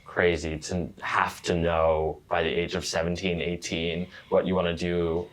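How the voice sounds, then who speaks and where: A man's voice: deep voice, little pitch movement, a little slow, a bit bright, poor audio. A man hosting a casual interview with a fellow graduate student, chatty and self-deprecating, often laughing. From United States. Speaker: podcast host, graduate student.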